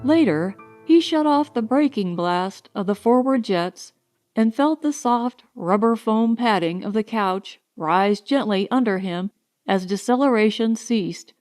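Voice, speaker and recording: A woman reading a science fiction short story as an audiobook narrator, in a measured, carefully enunciated storytelling style. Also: medium pitch, lively intonation, a little mellow, soft background music.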